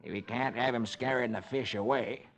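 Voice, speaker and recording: A man's voice: medium pitch, moderate pace, loud music behind the voice, close to an everyday voice. A man voicing an indignant male fishing buddy from a comic strip, scolding bluntly in 1950s radio style. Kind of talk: 1950s radio funnies reading. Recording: muffled old radio recording.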